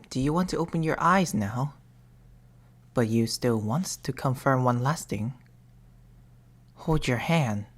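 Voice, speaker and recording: A woman voicing a patient, mournful male sleep paralysis demon in a hushed, formal ASMR roleplay, often whispering close to a binaural microphone. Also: extremely low pitch, full character voice. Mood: coaxing, eerie.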